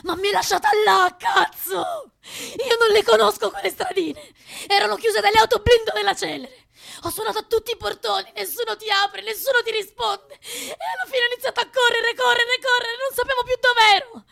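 Upset young student protester, really high voice with creak, accusing, radio play. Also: female voice, steady tone, brisk, fairly bright tone, heavily acted. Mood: distraught. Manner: emotional, accusing. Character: shaken, reproachful young female student protester. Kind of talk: naturalistic radio drama acting.

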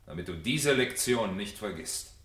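An actor playing a domineering, sardonic fire captain in a radio drama, with a commanding, mocking manner. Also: male voice, lowish voice, expressive, normal speed, fairly bright tone, reverberant. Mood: cold, menacing. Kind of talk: 1970 German radio drama acting.

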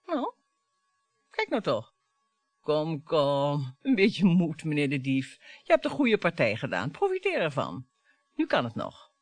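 An actress in a radio play playing a bitter, provocative wife who dwells on words theatrically. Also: female voice, deep voice, wildly swooping pitch, unhurried, pauses often, a little mellow, no echo, heavily acted. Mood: taunting, seductive. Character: bitter, taunting wife dredging up old family grievances.

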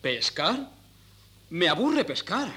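An actor playing a pedantic, bookish teenage son in 1970s radio theatre, speaking in formal, precise diction. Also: male voice, high voice, very animated, slow, pushed and bright, husky, exaggerated voice. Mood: flat reluctance.

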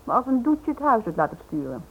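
Sardonic loyal wife, dull recording. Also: female voice, a little high, sing-song, fairly quick, slight background noise. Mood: devoted, firm. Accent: Dutch. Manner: dry, sarcastic. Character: loyal, sardonic wife, worried yet stubborn. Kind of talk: radio play acting. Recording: dull old radio play recording.

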